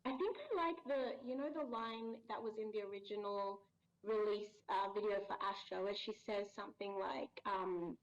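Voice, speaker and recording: A woman voice actor being interviewed as a guest, explaining her work in a measured, thoughtful way with many pauses. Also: medium pitch. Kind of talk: casual interview chat. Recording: dull lo-fi sound.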